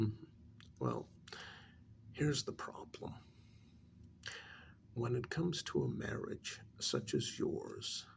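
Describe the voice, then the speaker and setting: A man playing a calm, probing psychiatrist in an ASMR role-play, speaking softly and breathily with measured pauses. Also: mid-range voice, heavily acted. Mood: calm, serious. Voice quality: breathy, half-whispered soft speech. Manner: slow, deliberate, measured with pauses. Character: calm, probing male psychiatrist counseling a supernatural couple.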